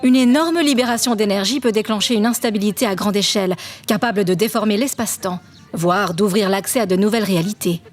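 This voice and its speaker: A woman's voice: mid-range voice, fast talker, soft background music, natural voice. A woman playing an articulate, judgmental, science-minded woman from a parallel universe in a French audio drama. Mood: matter-of-fact. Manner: explanatory, reproachful.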